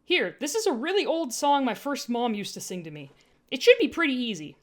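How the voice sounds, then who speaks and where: A woman's voice: high-pitched, big pitch swings, brisk, pitched above the performer's own voice. A woman voicing an upbeat, eager female traveller in an audiobook, with an encouraging, enthusiastic manner. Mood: eager, friendly.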